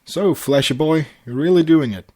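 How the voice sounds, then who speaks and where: A man's voice: on the high side, moderate pace, a little mellow, pitched above the performer's own voice, lightly acted. A man voicing a brash, cheeky young male pegasus wheeler-dealer in a boastful, familiar way, reading a story.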